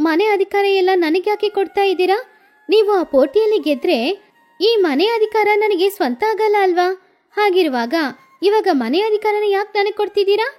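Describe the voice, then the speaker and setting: A woman voicing a young daughter-in-law who asks eager, animated questions in a cartoon story, with faint music behind her. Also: very high voice, normal speed, voice pushed up. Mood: puzzled.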